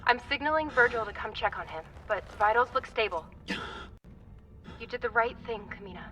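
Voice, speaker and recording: A woman's voice: a little high, animated, moderate pace, pushed and bright, distant mic, faint music behind, poor audio. A woman playing an earnest, caring spaceship crew member in a video game, speaking precisely. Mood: reassuring.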